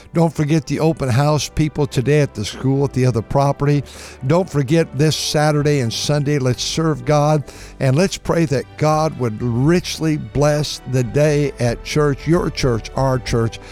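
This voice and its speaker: A man with a raspy voice preaching an impassioned sermon, stammering and restarting, then surging into shouted praise. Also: on the high side, a little slow, faint music behind. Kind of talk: fervent radio sermon.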